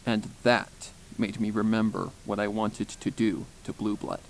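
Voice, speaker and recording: Man narrating a first-person dark story. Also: on the low side, little pitch movement, a little slow, creaky, slight background noise, poor audio. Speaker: fan fiction narrator.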